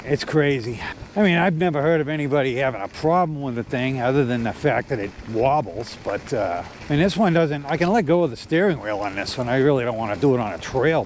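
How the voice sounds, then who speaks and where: A man's voice: mid-range voice, animated, brisk. A man in his fifties talking casually while riding an e-bike on an outdoor trail, rambling with lots of fillers and restarts into a clip-on mic. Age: 50s. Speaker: e-bike vlogger. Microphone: corded clip-on mic. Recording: faint outdoor noise from riding.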